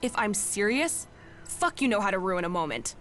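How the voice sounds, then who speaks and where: A woman's voice: medium pitch, sing-song, moderate pace, fairly bright tone, faint music behind. An actress playing a brash, foul-mouthed, flirtatious companion in a video game, blunt and sarcastic in her delivery. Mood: mocking. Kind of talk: video game cutscene acting.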